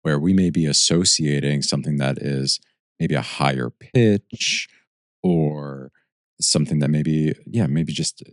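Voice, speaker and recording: A man co-hosting a podcast. He speaks in a reflective, measured way. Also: a bass voice, dry recording, studio quality. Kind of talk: podcast conversation.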